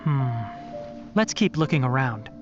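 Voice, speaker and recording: An analytical, expressive young investigator. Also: male voice, mid-range voice. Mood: pensive, analytical. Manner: measured, analytical. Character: thoughtful, observant young male investigator. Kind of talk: video game voice acting. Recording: slightly muffled, music behind.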